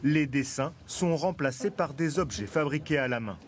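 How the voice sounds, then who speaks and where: A man narrating a television news report in a measured, scripted voice-over. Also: a little high, a little hiss or hum.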